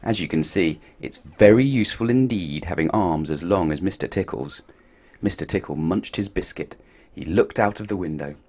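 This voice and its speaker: A man reading a picture book aloud to children, playful and expressive, swinging his voice up and down for effect. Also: moderate pace, husky. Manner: expressive, playful storytelling with big swings in pitch and loudness.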